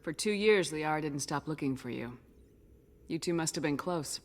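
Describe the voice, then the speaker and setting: A woman playing a steady human military commander in a video game, speaking directly and with assurance. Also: deep voice, moderate pace. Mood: concerned.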